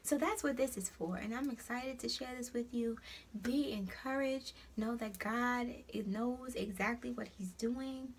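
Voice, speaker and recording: Young new york woman, kinda high voice with gravelly fry, preachy pep talk, calls u honey. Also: unhurried, a little hiss or hum. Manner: warm, preachy and encouraging, calls viewers honey. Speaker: host of an encouragement site. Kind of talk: casual motivational vlog.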